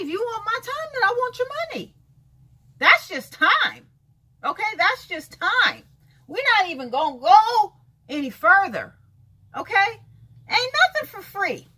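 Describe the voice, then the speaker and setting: Sassy 51-year-old woman, high bright voice. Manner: sassy, emphatic, repeats lines for effect, calls listeners baby and honey; lively hand gestures while talking. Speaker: vlogger. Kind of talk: casual talking-head rant. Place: bright room with shelves of books by a window, indoors.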